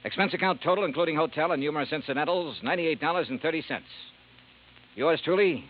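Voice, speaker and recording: An actor playing a shrewd, confident, wry hard-boiled investigator in a vintage radio noir, with crisp delivery. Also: male voice, fairly high pitch, quick pace, lightly acted. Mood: wry, reflective. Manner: crisp, clipped, confident accusations. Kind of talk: 1950s radio noir acting and narration. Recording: muffled, faintly noisy vintage radio recording.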